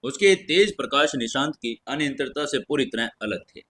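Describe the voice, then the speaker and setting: A man's voice: medium pitch, lively intonation, moderate pace, a bit bright, breathy edge. A man narrating a scripted fantasy audio story in a dramatic storytelling style.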